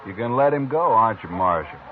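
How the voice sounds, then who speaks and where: A man's voice: mid-range voice, lively intonation, fairly quick, a crowd in the background, lightly acted. An actor playing an angry, vengeful townsman in a 1950s radio western, blunt and combative. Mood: angry, menacing. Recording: noisy, tinny 1950s radio recording.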